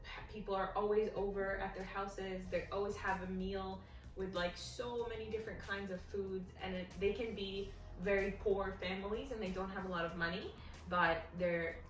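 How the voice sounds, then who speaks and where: A woman's voice: mid-range voice, fairly even intonation, moderate pace. Young woman, native Arabic speaker, informal interview guest, chatty, distant roomy sound, music playing. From Egypt and the United States. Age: early 20s. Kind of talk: casual conversational interview answers. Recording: echoey room, distant mic, music behind.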